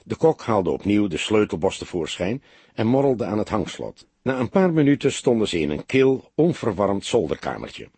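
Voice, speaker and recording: Very husky male audiobook narrator. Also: lowish voice, big pitch swings, moderate pace, a little mellow, dry recording. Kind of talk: scripted dramatic story narration.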